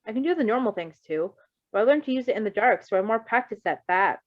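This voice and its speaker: A woman's voice: fairly high pitch, expressive, fast, dull audio, natural voice. A woman voicing an awkward, hesitant young male superhero character in an amateur fan fiction reading, informal and self-effacing. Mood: shyly impressed. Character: skittish, awkward young male superpowered runaway, apologetic and shyly curious.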